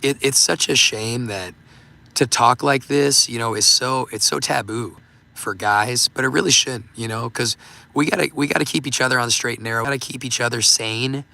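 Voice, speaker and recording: A man hosting a livestream, talking casually and haltingly with many fillers, his voice going hoarse and giving out. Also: medium pitch, pushed and bright. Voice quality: gravelly, voice giving out. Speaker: livestream host. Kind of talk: casual livestream talk reading super chats.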